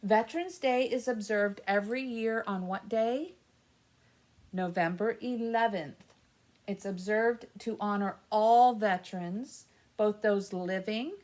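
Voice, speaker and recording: American woman, mid-pitched, unhurried children's library host, simple and patient explainer. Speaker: library story time host. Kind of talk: children's story time, reading and explaining simply.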